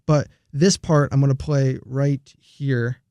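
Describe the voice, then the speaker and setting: A man's voice: medium pitch, takes it slowly, dull audio, noisy background. A young man hosting a college radio show, chatting casually about film scores with lots of fillers. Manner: relaxed, rambling, many fillers.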